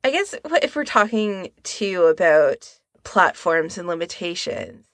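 A woman co-hosting a podcast in a casual discussion, with a creaky voice. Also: on the low side, sing-song, a little slow, no echo. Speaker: podcast co-host.

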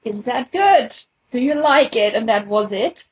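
A woman with a husky, slightly high voice speaks casually, as in a relaxed podcast conversation. The sound is a little dull and lo-fi. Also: a little slow. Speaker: podcast participant, fan event organiser. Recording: dull, lo-fi voice recording.

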